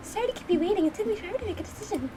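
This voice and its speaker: A woman's voice: extremely high pitch, expressive, very fast, noisy background, pitched above the performer's own voice. A woman voicing a sweet, polite, hesitant young heroine in a visual novel game. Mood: apologetic, earnest. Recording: music behind the voice.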